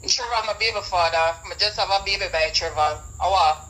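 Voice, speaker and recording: A woman's voice: on the low side, a little fast, sharp tone, rough recording. A young Jamaican woman in a casual phone conversation, guarded and answering with short questions in Patois. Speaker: prank call recipient.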